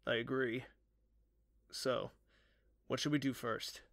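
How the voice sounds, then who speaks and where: Male actor as a young swordsman: weary, muttering, slow, dry booth sound. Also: medium pitch, fairly even intonation, creaky, fairly bright tone, no echo. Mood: glum. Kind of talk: fan audio drama acting.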